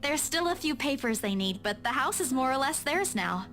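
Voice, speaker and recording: A woman's voice: high voice, big pitch swings, normal speed, fairly bright tone. An actress playing a polite, awkward young woman in a visual novel, speaking hesitantly over music. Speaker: video game voice actor. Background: background guitar music.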